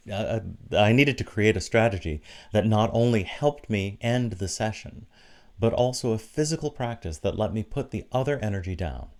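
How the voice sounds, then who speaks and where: A man preaching a reflective sermon in a deliberate, encouraging manner, with short emphatic phrases. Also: lowish voice, darkish tone. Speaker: preacher giving an online church sermon.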